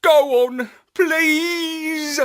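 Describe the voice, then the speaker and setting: Man as an excitable, high-pitched talking pup. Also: extremely slow, full character voice. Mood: pleading. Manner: excitable, emphatic, pleading. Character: eager talking space-pup hero, pleading and excitable.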